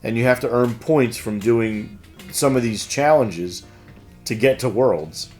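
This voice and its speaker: Male host, mid-pitched, casual and easygoing announcement read with hesitant banter, light music underneath. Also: unhurried, darkish tone. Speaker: host of a game shop news show.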